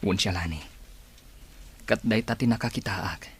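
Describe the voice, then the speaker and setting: A man playing an earnest, urging outlaw in an Ilocano radio drama, speaking deliberately in a husky, breathy voice. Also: fairly low pitch, heavily acted. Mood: earnest, tender. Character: earnest male member of an outlaw group, urging and devoted.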